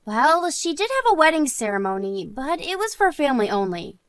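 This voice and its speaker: A woman's voice: high voice, sing-song, fairly quick, dry recording. A woman playing an earnest married woman and loyal friend in a scripted story drama. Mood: calm, chatty.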